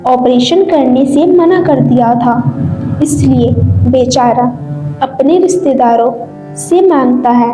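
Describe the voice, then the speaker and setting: Mellow, high-pitched woman narrator, roomy sound. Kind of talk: scripted moral story narration. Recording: roomy echo, music underneath.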